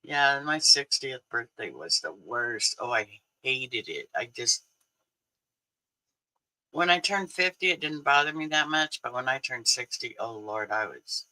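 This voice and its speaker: Slow-talking bright man in his sixties. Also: mid-range voice, expressive, rough recording. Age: 60s. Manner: chatty, laughs often, answers viewers. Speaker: livestream host. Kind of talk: casual livestream chat.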